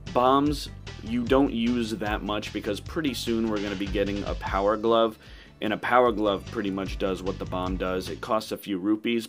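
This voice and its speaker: A man giving casual, matter-of-fact commentary as he plays through a video game. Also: fairly low pitch. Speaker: gaming video host. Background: game music.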